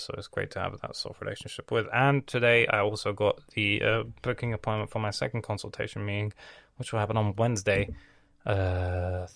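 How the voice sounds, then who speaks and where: A man's voice: lowish voice, vocal fry, fairly bright tone, no echo. A man raised in Lebanon hosting his own podcast, talking in a loose, rambling monologue full of swearing and lost threads. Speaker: podcast host.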